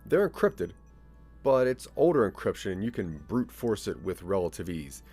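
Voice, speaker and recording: A man narrating a scripted second-person audio drama as a measured, dramatic storyteller. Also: lowish voice, big pitch swings, darkish tone, faint music behind.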